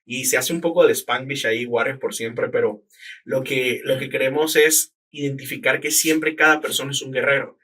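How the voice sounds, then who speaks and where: A man hosting a podcast interview, asking questions and chiming in with quick conversational interjections. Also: medium pitch, steady tone, pushed and bright. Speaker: podcast host. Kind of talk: casual interview talk. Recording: voice somewhat far from the mic.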